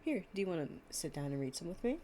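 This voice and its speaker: A woman's voice: on the low side, big pitch swings, fast talker, mellow, slight background noise. A young woman playing an affectionate, chatty girlfriend in an improvised, intimate storytime roleplay, with a casual, slangy and playful way of talking. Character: affectionate, chatty girlfriend reading fables to her partner.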